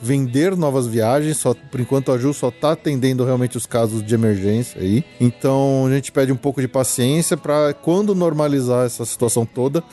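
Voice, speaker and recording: Mellow Brazilian man hosting a podcast. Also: medium pitch, moderate pace, few pauses, soft background music. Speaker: podcast host. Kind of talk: casual podcast hosting with announcements.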